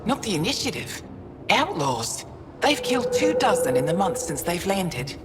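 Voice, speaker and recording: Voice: medium pitch, big pitch swings, normal speed, close to an everyday voice. An actor playing a worried, skeptical alien researcher in a video game, speaking in a sober, pointed way. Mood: bitter. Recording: loud game ambience and vehicle noise.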